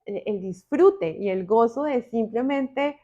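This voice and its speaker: Unhurried Colombian woman, reflective. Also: fairly high pitch, animated, mellow, lo-fi sound. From Bogotá, Colombia. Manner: deliberate, reflective, with pauses. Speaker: podcast guest. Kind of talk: reflective personal interview talk.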